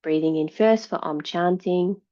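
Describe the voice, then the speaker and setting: A woman yoga teacher giving guided meditation and yoga instruction in a calm, measured, soothing way. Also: fairly low pitch, lo-fi sound.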